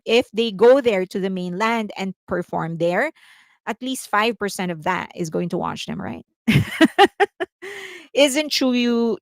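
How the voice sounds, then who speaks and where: A chatty Filipino woman with a Filipino accent, hosting a casual commentary stream. She explains at length, reads viewer comments aloud and laughs often. Her voice sits mid-pitch and sounds dry and close to the mic. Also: dry recording. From Philippines. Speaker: host.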